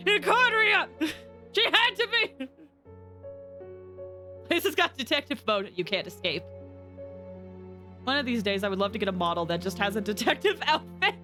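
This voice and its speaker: A woman streaming a game with animated, rambling commentary and dramatic outbursts. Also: fairly high pitch. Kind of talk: excited live gameplay commentary and theorizing.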